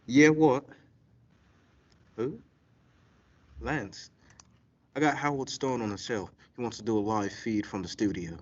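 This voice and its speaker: A man playing an excitable, opportunistic radio show promoter in a comic play, with a husky voice. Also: mid-range voice, a little slow, long pauses, natural voice. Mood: brusque. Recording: slightly dull lo-fi recording.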